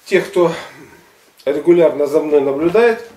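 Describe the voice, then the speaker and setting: A man in his sixties telling a story to his viewers in a colloquial, expressive way, repeating words for emphasis. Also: a little high, unhurried, a little hiss or hum. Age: 60s. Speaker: health and gymnastics video blogger. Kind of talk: casual storytelling monologue to viewers. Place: living room at home, indoors. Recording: echoey room.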